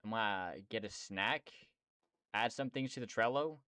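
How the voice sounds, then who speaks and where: A man's voice: lowish voice, moderate pace, pushed and bright, clean tone, no echo, studio quality. A young man streaming and chatting casually about game development, slangy and rambling with lots of fillers. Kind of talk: casual chat.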